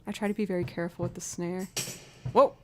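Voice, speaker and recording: A woman with a low alto voice, livestreaming as a musician and chatting casually while she builds loops, often thinking out loud. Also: steady tone, quick pace, a little hiss or hum. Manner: chatty, talks aloud to herself.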